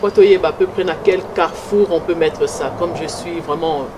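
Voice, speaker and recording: A middle-aged woman from Congo with a Congolese French accent and a slight North American tinge, talking animatedly and switching between French and Lingala in a street interview. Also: brisk. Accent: Congolese French with a slight North American English tinge. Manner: animated, emphatic, pitch jumps high when insisting. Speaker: pageant runner-up interviewed about her project. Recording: loud street noise with passing vehicles. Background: traffic and street noise.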